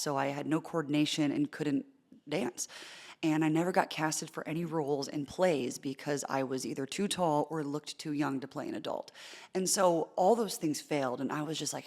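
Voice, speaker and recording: Deep-voiced woman, thirty, chatty reporter. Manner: animated, quick asides with filler likes and repeated starts. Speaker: sports reporter and in-arena host. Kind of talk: casual interview conversation.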